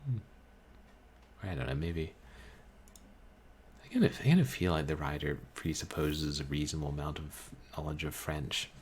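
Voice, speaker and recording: A man streaming a book reading, chatting casually with his chat in a calm, musing, halting way. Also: a bass voice, a little hiss or hum. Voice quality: calming voice. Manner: musing, soft-spoken, halting. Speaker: streamer reading books aloud.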